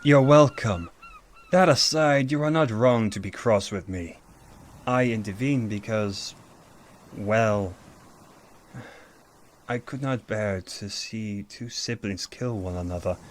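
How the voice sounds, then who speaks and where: A man's voice: lowish voice, a little slow, a little hiss or hum, close to an everyday voice. A man playing a grand, protective archangel in a fantasy audio drama, speaking in a formal, theatrical way. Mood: earnest. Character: grand male archangel, commanding, protective elder brother.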